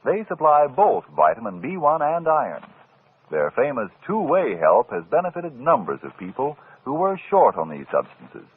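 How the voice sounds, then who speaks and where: A man announcing in dramatic, deliberate 1940s radio style. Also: mid-range voice, wildly swooping pitch, a little hiss or hum. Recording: tinny vintage radio broadcast.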